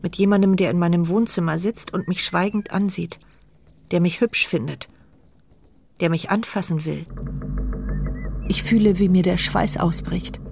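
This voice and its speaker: An anxious, gravelly inner monologue. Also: female voice, fairly low pitch, steady tone, normal speed, pauses often, a little mellow, slight background noise. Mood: alarmed. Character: mute paralysed woman, anxious inner voice. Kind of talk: inner monologue in a radio play. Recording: radio play with music behind.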